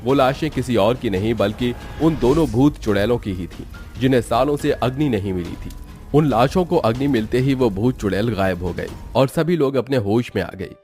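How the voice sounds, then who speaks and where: A man's voice: medium pitch, normal speed, darkish tone, soft background music. A man giving scripted narration for a horror cartoon story.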